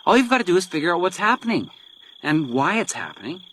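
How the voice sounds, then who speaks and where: Swooping, high-voiced male radio-drama villain. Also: sing-song, quick pace, a bit bright, heavily acted, rough recording. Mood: gleeful taunting. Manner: mocking, theatrically playful. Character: sadistic, taunting male villain who plays deadly games. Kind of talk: radio crime drama acting.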